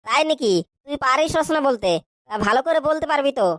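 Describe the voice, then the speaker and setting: A woman's voice: high voice, fairly bright tone, no echo, studio quality. A woman voicing a bad-tempered, bullying schoolteacher who scolds and fires questions in a comic cartoon. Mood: brisk, bossy. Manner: scolding, hectoring, rapid questioning.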